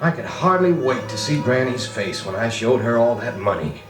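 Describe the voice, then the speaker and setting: A man's voice: mid-range voice, sing-song, normal speed, natural voice. An actor playing an earnest country man who narrates his boyhood dramatically in a 1940s radio drama. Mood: wistful, eager. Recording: roomy 1940s record. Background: music.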